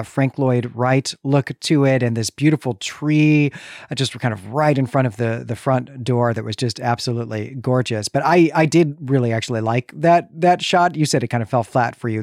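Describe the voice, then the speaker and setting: A man's voice: mid-range voice, no echo, clean studio sound. An American man from Chicago co-hosting a casual film discussion, thinking aloud and correcting himself as he goes. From Chicago, United States. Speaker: podcast co-host.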